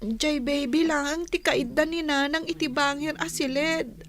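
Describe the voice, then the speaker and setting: A woman's voice: high voice, fairly even intonation, measured pace. A woman playing a sad, pleading young child who whines plaintively in an Ilocano radio drama. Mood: sulky, downcast. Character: sad, pleading young child, one of many siblings, left behind.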